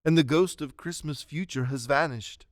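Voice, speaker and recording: A man's voice: fairly high pitch, normal speed, no room sound, clean studio sound, close to an everyday voice. A man giving dramatic scripted narration of a story in a radio play.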